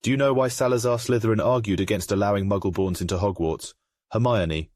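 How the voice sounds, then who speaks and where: A man playing a grave, remorseful aristocratic father in a comic dub, speaking in a formal, measured way. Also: fairly low pitch, a little mellow, no echo, clean studio sound, natural voice. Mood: solemn, remorseful.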